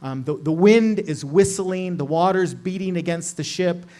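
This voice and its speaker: A middle-aged man preaching in a loose, chatty way, checking in with his listeners as he goes. His voice sits a little higher than most men's. He explains things as if talking with friends rather than reading out a text. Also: normal speed, dark tone. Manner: casual, lots of "like" and "right?" asides. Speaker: pastor. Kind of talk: conversational sermon.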